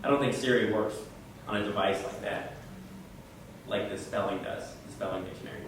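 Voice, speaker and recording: An echoey, roomy recording of a man explaining tech tips to a group, caught from well back with a faint hiss underneath. He talks in a loose, halting way and doubles up words as he thinks. His pitch sits right in the middle for a man. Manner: conversational, halting with repeated words. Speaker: presenter at a computer user group. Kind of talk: informal tech tips talk answering questions. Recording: echoey room, mic far off.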